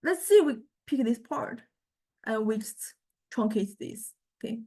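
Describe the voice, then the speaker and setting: A woman lecturer giving an informal software demonstration, speaking haltingly and often repeating her words as she explains. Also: on the high side, animated. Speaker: music technology lecturer.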